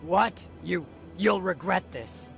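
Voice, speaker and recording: A man voicing a young, hot-headed orc warrior in a fantasy game, with a gravelly voice. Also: sits high, lively intonation, takes it slowly, faint music behind, heavily acted. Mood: indignant. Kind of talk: video game character dialogue.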